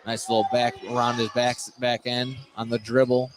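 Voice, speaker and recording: A man's voice: mid-range voice, flat delivery, normal speed. A man calling a live basketball game for radio, following each pass as it happens and often repeating words. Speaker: play-by-play announcer. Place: indoor basketball gym. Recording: noisy gym, clear ambient din. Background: gym noise, bouncing basketballs.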